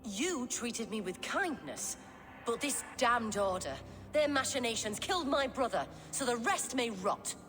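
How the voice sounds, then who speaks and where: A woman's voice: fairly high pitch, lively intonation, a little slow, a bit bright. A woman playing a bitter, grieving, devout woman in a video game, speaking with embittered emphasis. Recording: long hall reverb.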